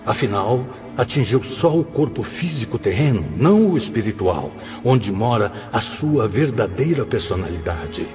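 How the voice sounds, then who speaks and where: A man acting as a solemn spirit mentor in a radio drama, lecturing with grave, measured oratory and dramatic pauses. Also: medium pitch, very animated, lightly acted. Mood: stern teaching. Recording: muffled, long reverb, music underneath.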